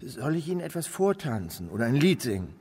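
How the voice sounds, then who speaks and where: An actor playing a destitute ex-convict begging with overdone politeness in a German radio play. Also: male voice, mid-range voice, very animated, brisk, darkish tone, husky. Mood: wheedling. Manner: pleading with exaggerated politeness. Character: destitute male ex-convict beggar, wheedling, wary.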